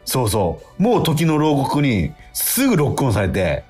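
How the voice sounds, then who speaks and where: A middle-aged man hosting a radio show in casual, animated, chatty talk with a co-host. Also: mid-range voice, normal speed, slightly rough. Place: radio studio. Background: music.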